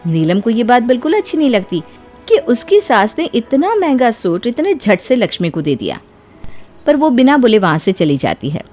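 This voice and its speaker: A woman's voice: mid-range voice, darkish tone. A woman working as narrator, reading a scripted story in a storytelling style.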